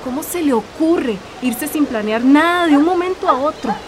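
A woman's voice: high-pitched, lively intonation, moderate pace, background noise. An actress in a radio drama playing a frank young woman. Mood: concerned, frank. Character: frank young woman bringing village news.

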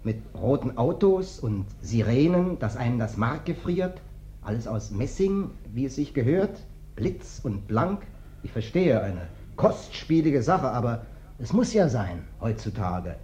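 An actor in a German radio play playing a big ex-wrestler drifter, wheedling and falsely humble, with slyly menacing folksiness. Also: male voice, medium pitch, big pitch swings, a little slow, mellow, slight background noise. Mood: dreamy, menacing. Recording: dull, band-limited radio play recording.

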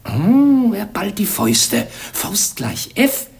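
An actor playing a sly, self-important clownish servant in a comic radio play, hushed and exaggerated. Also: male voice, high-pitched, huge pitch swings, takes it slowly, gravelly, heavily acted. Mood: sly puzzling.

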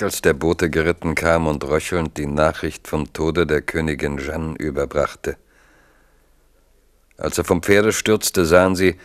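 A man narrating a 1970s radio play in a measured, lyrical way. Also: rumbling low, dry recording, clean studio sound. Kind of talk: scripted narration.

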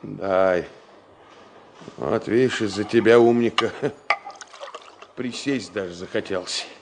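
Gruff fatherly senior engineer, noisy recording. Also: fairly low pitch, slow. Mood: tired, teasing. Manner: folksy, ironic, chiding with rhetorical questions. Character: gruff, fatherly older male senior engineer, scolding and teasing. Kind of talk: Soviet radio drama acting. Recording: noisy 1970 radio play recording.

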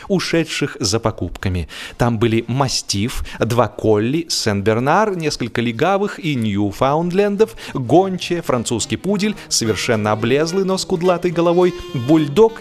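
A man narrating a humorous story in a theatrical, mock-solemn, ironic manner. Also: medium pitch. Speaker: audiobook narrator. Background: music.

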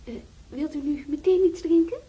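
A noisy old radio drama recording with clicks carries an actress as a young female nurse on a hospital ward. Her voice sits fairly high, and she speaks in brisk, reassuring little phrases with patient care. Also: highs cut off, natural voice. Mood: caring, busy. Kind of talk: Dutch radio play acting.

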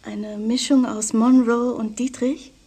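An actress playing a seductive, mysterious, self-assured woman in a crime radio play, with breathy, teasing, coaxing delivery. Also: on the high side, level tone, slow pace, warm tone. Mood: teasing, coy. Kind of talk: 1990s German crime radio play acting.

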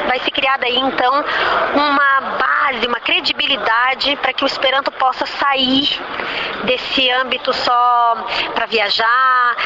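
A young Brazilian woman with a high voice answers a radio interview in a measured, reflective way, often pausing and rephrasing as she goes. The broadcast sound is dull and muffled, with a clear layer of hiss underneath. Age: young adult. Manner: thoughtful, measured, frequent restarts. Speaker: guest.

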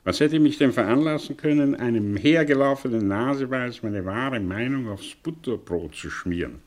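An actor playing a sardonic, evasive professor in a German radio play, mocking and condescending in ornate phrases. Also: male voice, mid-range voice, animated, moderate pace, lightly acted.

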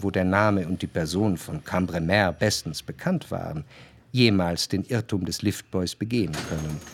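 An actor playing an introspective, wryly analytical narrator in a historical radio play, speaking in a measured, articulate, reflective way. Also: male voice, lowish voice, a little hiss or hum.

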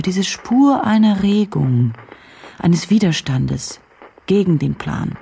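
A reflective, self-questioning woman journalist in a radio drama. Her voice is dark and soft with a strong creak, and her delivery is deliberate and brooding. There is clear noise behind her. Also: low-pitched, exaggerated voice.

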